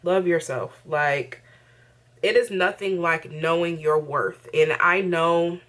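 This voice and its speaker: A woman's voice: on the low side, slow, fairly bright tone. A woman in her early thirties chatting casually to viewers in a get-ready-with-me vlog, talking in an emphatic, familiar, conversational way. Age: early 30s. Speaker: vlogger, host of own channel. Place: indoors in a bedroom.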